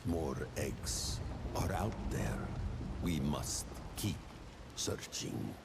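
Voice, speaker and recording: An actor voicing a deep, gravelly, cryptic male creature servant in a video game, murmuring slowly. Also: animated, heavily acted. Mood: ominous. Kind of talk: video game character dialogue. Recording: loud ambient game noise.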